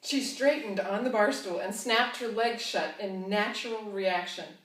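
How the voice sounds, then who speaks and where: A woman's voice: mid-range voice, a little slow, a bit bright. A woman who writes romance, reading narration from a romance novel aloud in a light, playful way. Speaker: author reading an excerpt. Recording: roomy, distant.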